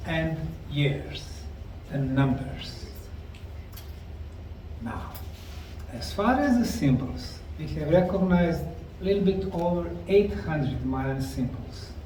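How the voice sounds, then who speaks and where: A middle-aged man slowly narrating a documentary on location at ancient ruins, explaining the sites like a tour guide. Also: on the high side, mellow, a little hiss or hum. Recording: roomy, distant mic with faint outdoor ambience.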